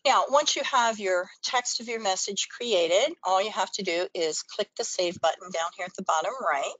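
A woman's voice: medium pitch, normal speed, fairly bright tone, dry recording, rough recording. A woman presenting a training webinar, explaining things step by step in an instructional screen-share style.

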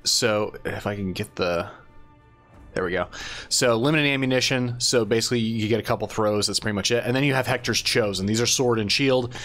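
A man's voice: on the low side, fairly quick, fairly bright tone, soft background music. Chatty man walking through a strategy game. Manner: chatty, explains step by step, unsure of names. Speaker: gaming channel host. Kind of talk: casual game commentary.

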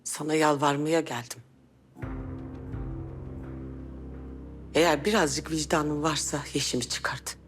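A woman's voice: deep voice, steady tone, normal speed, soft background music, close to an everyday voice. An actress playing an earnest, reproachful woman in a TV drama, pleading emphatically for mercy. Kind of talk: TV drama acting.

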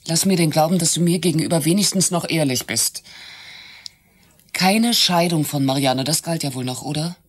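An actress in a radio play, playing a bitter, jealous secretary who speaks in a reproachful, hesitant way. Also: female voice, sits low, husky. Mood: accusing. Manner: sarcastic, reproachful, hesitant pauses. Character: bitter, jealous secretary and former mistress of her boss. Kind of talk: naturalistic German radio play acting.

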